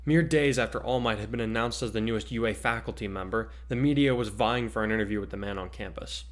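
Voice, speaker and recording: A man's voice: fairly low pitch, fast talker, echoey room. A man who hosts and narrates, moving between casual chat and dramatic story reading.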